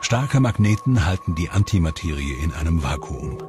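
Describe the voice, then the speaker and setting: A man giving measured, explanatory scripted voice-over narration for a documentary. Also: a bass voice, big pitch swings. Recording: voice-over with music behind.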